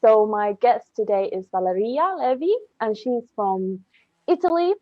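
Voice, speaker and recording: A woman's voice: on the high side, lively intonation, measured pace, no room sound, dull audio. A woman hosting a casual podcast interview, speaking in an enthusiastic, rambling way. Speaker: podcast host.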